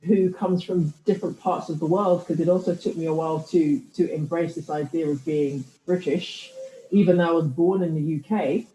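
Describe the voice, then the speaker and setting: A woman's voice: deep voice, steady tone, normal speed, dark tone, roomy sound, a little hiss or hum, low-quality recording. A woman from the United Kingdom, a writer and podcaster, talking in a thoughtful interview and often restarting her sentences. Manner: reflective, thoughtful, many restarts. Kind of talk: conversational interview.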